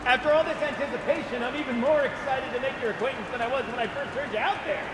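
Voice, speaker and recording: An actor playing an eccentric, cheerful, chatty explorer in a video game, with a theatrical, wordy, rambling manner. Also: male voice, very high voice, fast talker, roomy sound, noisy background. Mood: rueful, chatty. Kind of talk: video game character dialogue.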